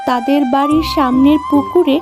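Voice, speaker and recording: A woman's voice: high voice, fairly even intonation, moderate pace, music in the background. A woman narrating a scripted children's cartoon story as its storyteller.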